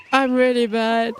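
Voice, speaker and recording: A woman streaming a game, talking casually and teasing herself with frequent laughs. She speaks slowly in a slightly high voice. Faint noise sits in the background. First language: not German. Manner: chatty, self-mocking, frequent laughs.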